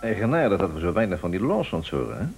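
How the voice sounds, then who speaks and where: A man's voice: low-pitched, wildly swooping pitch, fast, creaky, loud music behind the voice, heavily acted. A man acting a sceptical, gossiping neighbour in a Dutch-language radio play, musing and a little snide.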